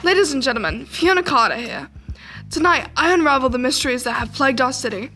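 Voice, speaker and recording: Young woman playing plucky determined girl reporter, high voice, earnest radio play delivery, music behind. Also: expressive, fast, bright, forceful tone. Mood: proclaiming. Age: young adult. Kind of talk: amateur radio drama acting.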